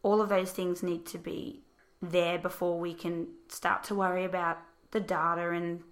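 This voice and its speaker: A woman teacher, speaking thoughtfully and patiently in a casual discussion, her voice a little croaky. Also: lowish voice, little pitch movement, moderate pace.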